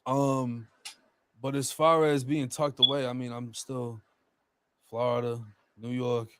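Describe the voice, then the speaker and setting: A man with a mid-pitched voice talks in casual conversation as a podcast guest. His delivery is blunt and full of street slang and swearing. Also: little pitch movement, takes it slowly, background noise.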